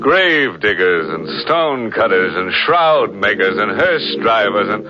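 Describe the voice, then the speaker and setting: Deep, animated man hosting vintage radio mystery. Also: takes it slowly, over music. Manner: wry, macabre, colloquial. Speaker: mystery show host. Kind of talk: 1940s radio hosting, theatrical banter. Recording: dull vintage radio recording.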